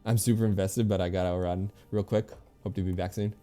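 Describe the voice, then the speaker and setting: A man's voice: low-pitched, fairly even intonation, fairly quick, dark tone, faint music behind. A man streaming a game, reading its text aloud with casual asides to his viewers. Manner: stumbles over names and corrects himself.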